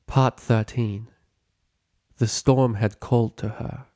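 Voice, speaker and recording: A man's voice: mid-range voice, fairly even intonation, slow, dark tone, no room sound, clean studio sound. A man reading a story aloud as narrator in a scripted narration style. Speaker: narrator reading fan fiction.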